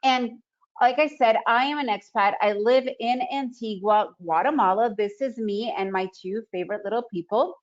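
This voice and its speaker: A middle-aged woman whose first language is Russian, giving an energetic, motivational online trading presentation and often asking "right?". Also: a little high. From Soviet Union. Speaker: trading educator presenting a webinar. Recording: slightly dull lo-fi webinar audio.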